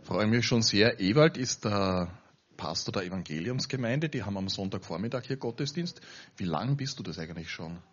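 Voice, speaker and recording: A man's voice: lowish voice, animated, brisk. An Austrian man hosting at a church, chatting colloquially as he introduces and interviews a guest. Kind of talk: informal live interview and announcement. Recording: dull, lo-fi sound.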